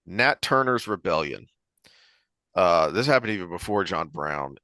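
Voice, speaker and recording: The low-voiced man on a book podcast, thinking aloud into a close, dry mic as he explains and digresses. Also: sharp tone, smooth, dry recording. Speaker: podcast host discussing books. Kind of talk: conversational analytical discussion.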